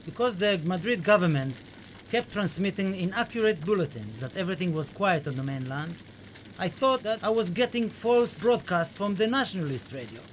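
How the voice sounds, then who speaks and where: Haunted poet, acted by a man, over loud noise. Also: high-pitched, lively intonation. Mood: grave, anxious. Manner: dramatic, poetic, deliberate phrasing. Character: haunted Spanish poet, a man, recounting fascist terror. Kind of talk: radio play monologue with recited poetry.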